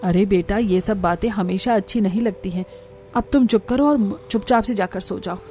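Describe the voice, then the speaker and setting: A woman's voice: mid-range voice, moderate pace, mellow, faint music behind, close to an everyday voice. A woman voicing a scheming, thrifty middle-aged mother-in-law in a cartoon story, coaxing and breezily dismissive. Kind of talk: cartoon story character dialogue.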